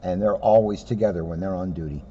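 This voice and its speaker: A man's voice: low-pitched, fast talker, dark tone. A man, a police officer, giving casual explanations in a dull recording with some faint noise. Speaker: police officer commenting during a read-aloud.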